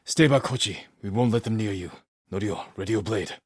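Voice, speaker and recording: An actor playing a stoic, honorable samurai warrior in a video game, weary and grave, speaking in a measured, restrained and protective manner. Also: male voice, fairly low pitch, darkish tone, slightly rough, dry recording, clean studio sound. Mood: urgent, commanding. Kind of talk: dramatic video game voice acting.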